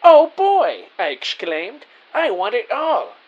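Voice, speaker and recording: A man's voice: high-pitched, huge pitch swings, slow pace, lo-fi sound, exaggerated voice. A man playing an earnest, naive detective who narrates his own story in a scripted audio drama, with a theatrical delivery. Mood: excited.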